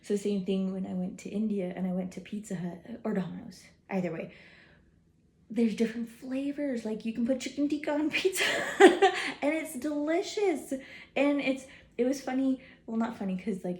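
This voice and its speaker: Young woman, casual chat, rambling, mellow voice, echoey room. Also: mid-range voice, normal speed. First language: English, some Hindi learned. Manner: rambling, chatty, lots of fillers. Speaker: travel vlogger.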